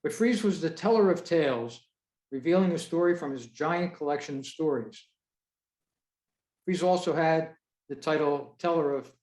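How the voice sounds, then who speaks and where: A man hosting an online meeting, giving an informal presentation in a measured, halting way over a video call. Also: a little high, a little mellow. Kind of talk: informal spoken presentation from notes. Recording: roomy, echoey video-call audio.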